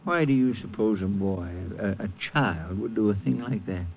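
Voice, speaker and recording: A man's voice: on the low side, moderate pace, natural voice. Man as a mild shopkeeper, muffled old radio. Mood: concerned. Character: mild, polite, concerned male shopkeeper robbed by a kid. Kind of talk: 1950s radio drama acting. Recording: dull old radio recording with noise.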